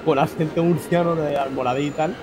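A man's voice: on the high side, steady tone, brisk, poor audio. A young man co-hosting a casual podcast, telling blunt anecdotes and swearing freely. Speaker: podcast co-host. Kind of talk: casual chat. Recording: music playing underneath.

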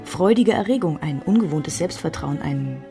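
A woman's voice: low-pitched, animated, normal speed, gravelly, over music. An actress playing a wistful, candid woman recalling a love triangle in intimate, reflective first-person radio drama narration. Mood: nervous excitement. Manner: reflective, alternates German with English phrases.

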